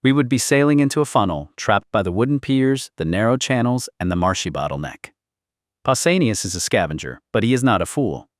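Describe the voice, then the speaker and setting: A battle-worn old campaigner and general voiced by a man in a historical audiobook. He lectures calmly and coldly in a flat, dry manner. The sound is close, with no room echo. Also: fairly low pitch, brisk, lightly acted. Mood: coolly calculating. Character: weathered veteran Greek general, calm, cold, calculating.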